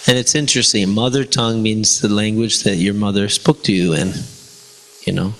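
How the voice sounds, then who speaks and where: A man's voice: on the low side, fairly even intonation, moderate pace, fairly bright tone, rough recording. A man whose first language is Spanish, giving an informal devotional class in a chatty way, with rhetorical questions to his listeners. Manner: conversational, many fillers and rhetorical "right?". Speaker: religious class teacher.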